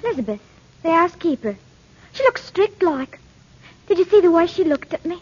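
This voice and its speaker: Very high-pitched pert housemaid. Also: female voice, big pitch swings, brisk, a little mellow. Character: pert young housemaid, forward yet polite. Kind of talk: 1940s radio drama acting. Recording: dull vintage radio recording.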